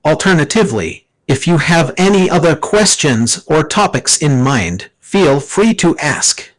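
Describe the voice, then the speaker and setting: A man speaking in a formal, measured, neutral manner, reading scripted explanations like an AI assistant. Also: a little high, sing-song.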